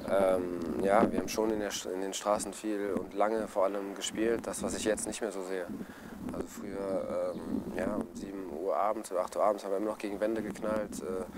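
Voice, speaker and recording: A young man from Germany answers questions outdoors at a sports ground in a loose, easygoing way. His voice sits on the low side and he often pauses with fillers. Steady outdoor noise runs behind him. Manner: relaxed, many fillers and restarts. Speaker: interviewee, footballer. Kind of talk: casual interview answers. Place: outdoors at a stadium and a café terrace.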